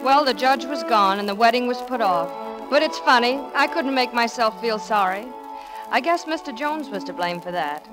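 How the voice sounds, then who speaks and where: An actress playing a wistful, romantic young woman with a soft, gently wry manner in 1940s radio style. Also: fairly high pitch, lively intonation, moderate pace, music in the background, close to an everyday voice, poor audio. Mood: wistful, confiding. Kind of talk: 1940s radio acting with first-person narration.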